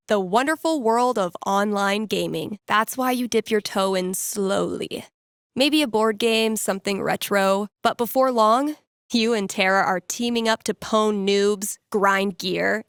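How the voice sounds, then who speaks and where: A woman's voice: mid-range voice, fairly bright tone, no room sound, studio quality, natural voice. An actress playing a young American woman who works as a small-town radio DJ in a video game, with wry, playful, teasing conversational patter. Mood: playful storytelling. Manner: wry, sardonic, improvising storyteller.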